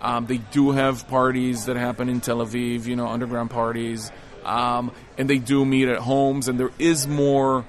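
A man's voice: mid-range voice, level tone, moderate pace. A man from Israel speaking as a film director in an interview, giving conversational answers.

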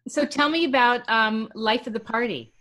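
A woman's voice: fairly high pitch, normal speed, sharp tone. A woman hosting a college radio interview, friendly, with short encouraging replies.

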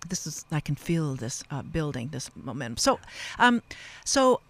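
A man's voice: fairly high pitch, very animated, moderate pace, a bit bright, no echo. A man hosting a casual radio interview in a chatty, rambling, self-correcting way, close on a studio mic. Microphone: close studio broadcast mic.

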